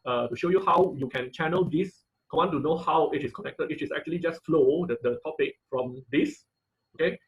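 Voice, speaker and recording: A man giving an informal live technical lesson as an instructor, speaking haltingly, repeating phrases and often checking in with "okay" and "right". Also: on the high side, rough recording. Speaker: online class instructor.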